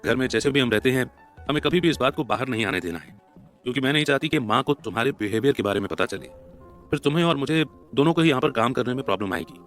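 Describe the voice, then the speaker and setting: A stern young wife, read by a man cautions earnestly and with worry. The voice sits at a medium male pitch in an audio story with music behind it. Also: animated, quick pace, a bit bright, natural voice. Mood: serious pleading. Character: stern, worried young wife giving instructions.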